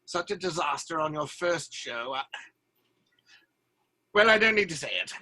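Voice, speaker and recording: An actor playing a fervent, protective leader of a theatre troupe, speaking emphatically in a theatrical audio drama. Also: male voice, a little high, animated, moderate pace, bright, forceful tone, heavily acted, poor audio. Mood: concerned, gentle.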